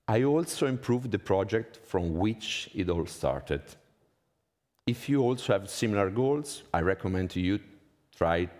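Male conference speaker, thirties, low voice, Italian accent, measured prepared delivery, long hall reverb. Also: a little mellow. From Italy. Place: conference hall stage, indoors.

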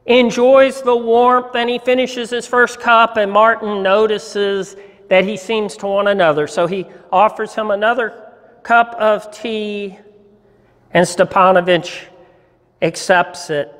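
A man in his sixties giving a deliberate, expressive dramatic reading of a story, with pauses, as a pastor in a church. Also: sits high. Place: church sanctuary, indoors. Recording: hall reverb in a church.

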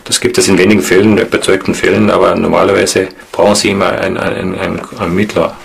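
A man explaining things spontaneously in an interview, halting and earnest. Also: low voice, flat delivery, gravelly, over music. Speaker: interviewee, esoteric practitioner.

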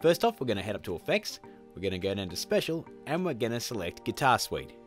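Animated male tutorial host. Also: medium pitch, quick pace, soft background music. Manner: chummy, informal, addresses viewers as guys. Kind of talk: upbeat video tutorial presenting.

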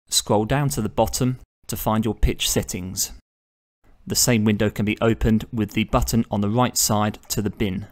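A man narrating a step-by-step screen tutorial as the channel host, measured and instructional. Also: on the low side.

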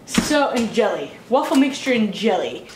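A woman's voice: on the high side, big pitch swings, normal speed. A young woman chatting informally to a camera in her kitchen, with dry asides. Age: young adult. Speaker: vlogger. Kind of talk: casual vlog chat. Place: home kitchen, indoors. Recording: echoey room, some background noise.

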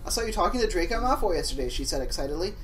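A man's voice: high voice, very animated, racing, background noise. A young man co-hosting a let's play, switching between casual banter and mock-dramatic story reading in a sardonic way. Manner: sardonic, sweary. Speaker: let's play co-host reading fan fiction aloud.

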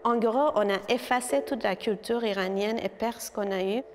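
A woman's voice: on the high side, moderate pace, faint music behind. Earnest woman in an echoing gallery. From Iran. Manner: earnest, hesitant with many filler sounds. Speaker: visual artist. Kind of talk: interview about her exhibition.

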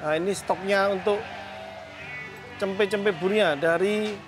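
A middle-aged man with a fairly high voice. He chats in a relaxed, matter-of-fact way while showing visitors around his open-air goat barns, recorded on a lapel mic with clear music playing underneath. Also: a little slow. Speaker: goat farm owner giving a tour. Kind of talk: casual explanatory tour talk. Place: outdoor open-sided livestock barns. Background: background music.

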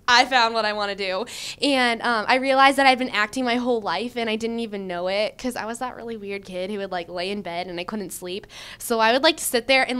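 Young woman job candidate, casual rambling answers, clear tone, handheld mic indoors. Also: on the high side. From Michigan. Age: young adult. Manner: chatty, animated, lots of likes and ums, gestures with her hands. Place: indoor room before a banner. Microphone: handheld mic held by the interviewer.

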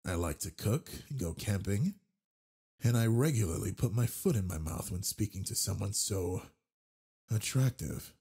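A man performs a brawny male werewolf alpha for a romantic audio drama. The voice is very husky and low, with a gravelly creak. He is flirtatious yet gentle and protective. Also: lively intonation, exaggerated voice. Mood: friendly, charming. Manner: slow, soft, teasing. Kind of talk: intimate romantic audio roleplay.

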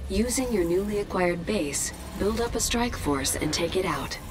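A woman's voice: fairly low pitch, expressive, moderate pace, natural voice. A woman playing a cool, efficient military battle computer, giving clipped, impersonal game announcements. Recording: loud music and vehicle noise behind.

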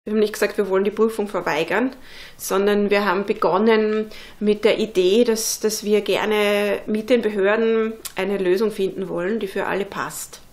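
A woman talks earnestly and thoughtfully, filling her pauses with small hesitations. Her voice sits at an ordinary middle pitch for a woman. She is recorded close up in an indoor workshop. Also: steady tone. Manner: earnest, thoughtful, many fillers. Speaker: interviewee, unschooling mother. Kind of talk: casual interview talk.

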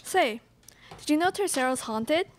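A woman's voice: sits high, lively intonation, normal speed. A young woman playing a teasing, dramatic college student with a florid delivery in a student radio play. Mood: spooky, teasing. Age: young adult. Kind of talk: student live radio play, read from script. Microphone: stage microphone on stand. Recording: noisy live studio pickup with clicks.

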